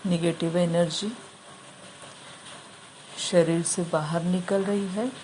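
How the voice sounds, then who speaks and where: A woman leading a guided meditation, giving instructions in short, measured phrases with long pauses between them. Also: on the low side, steady tone, darkish tone, a little hiss or hum, low-quality recording.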